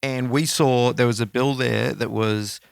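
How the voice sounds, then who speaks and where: Smooth-voiced Australian man, casual and slangy. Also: mid-range voice, fairly even intonation, a little slow, dry recording, clean studio sound. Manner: rambling, slangy storytelling with swearing. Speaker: podcast co-host. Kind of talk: casual chat, music scene anecdotes.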